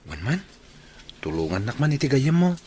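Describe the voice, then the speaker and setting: A man's voice: mid-range voice, sing-song, normal speed, darkish tone, a little hiss or hum, natural voice. A man playing an earnest, patient young suitor in an Ilocano radio drama.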